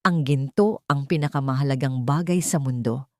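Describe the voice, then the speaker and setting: A resilient younger sister played by a woman narrator speaks earnestly and tenderly. Her voice is low for a woman and recorded dry, as in a romantic audio drama. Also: normal speed, no room sound. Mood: tender, reflective.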